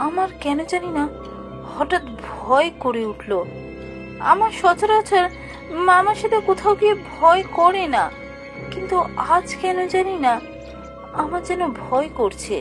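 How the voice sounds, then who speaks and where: A woman voicing a curious, stubborn child who narrates a spooky cartoon adventure in a suspenseful, expressive way. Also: very high-pitched, a little slow, pauses often, over music. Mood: uneasy. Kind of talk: dramatic scripted ghost-story narration for animation.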